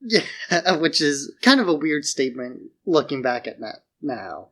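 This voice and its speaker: A male podcast co-host with a fairly high-pitched voice. He chats informally and offers his own commentary in a loose, casual style. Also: big pitch swings, measured pace, a bit bright. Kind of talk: casual chat, opinionated commentary.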